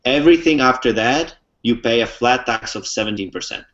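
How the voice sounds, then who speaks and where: A man's voice: medium pitch, lively intonation, a little fast, a bit bright. A young man from the Czech Republic, an interview guest, explaining things fluently step by step with many "um"s. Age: young adult. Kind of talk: casual explanatory talk. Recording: muffled lo-fi recording.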